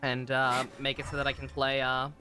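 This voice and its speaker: A man's voice: medium pitch, completely flat delivery, a little fast, pushed and bright. A man streaming a video game with casual, rambling commentary, often hesitating and trailing off mid-thought. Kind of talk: casual livestream commentary over gameplay. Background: game music.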